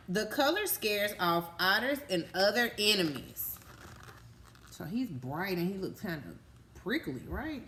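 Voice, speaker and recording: Lady teacher doing a kids book read aloud, really animated up and down voice, simple words, asks lots of little questions, bit of background noise. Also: medium pitch. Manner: instructive, simple child-directed phrasing with frequent check-in questions. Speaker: teacher reading a picture book to young children.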